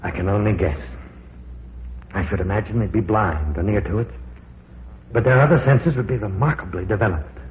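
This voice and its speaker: A man playing a grave, learned professor in an old radio horror drama, speaking in a deliberate, theatrical, explanatory way. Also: on the low side, natural voice. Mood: grave, speculative. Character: learned, grave male professor exploring dark caverns. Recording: noisy, tinny, echoey old radio recording.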